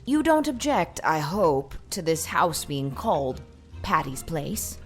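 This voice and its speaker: Proud, plain-spoken old spinster played by a woman; low, very animated delivery for storybook drama. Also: takes it slowly, faint music behind, exaggerated voice. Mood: firm. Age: older. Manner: emphatic, decisive, plain-spoken. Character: proud, brisk, decided old spinster lady. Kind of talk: dramatized audiobook acting.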